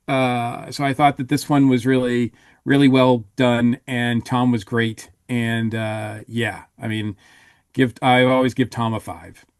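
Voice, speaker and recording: A man's voice: medium pitch, smooth, no echo, clean studio sound. A man co-hosting a podcast, giving a casual review in a hesitant, rambling way.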